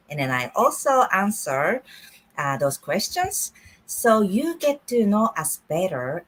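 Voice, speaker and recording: A middle-aged woman from Japan hosting a casual online business chat, friendly and unhurried, with frequent fillers. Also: lowish voice, a bit bright. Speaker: host.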